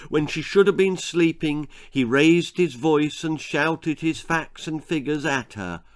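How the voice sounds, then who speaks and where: A man reading a scripted children's horror-comedy story as its author, in a dramatic, expressive manner. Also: fairly high pitch, unhurried. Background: faint music.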